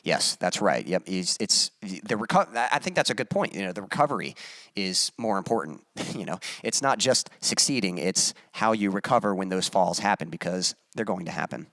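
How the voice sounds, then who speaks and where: A man hosting his own music live stream, chatting casually with viewers and reading their comments aloud, with many pauses and fillers. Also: sits low, dry recording. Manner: relaxed, halting, many fillers, reads chat aloud.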